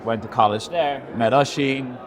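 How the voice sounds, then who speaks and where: A man's voice: medium pitch, moderate pace. A young man with an Irish accent talking casually as a startup founder being interviewed. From born in America, grew up in Ireland. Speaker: podcast guest, startup founder. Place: indoor event venue, recorded in person.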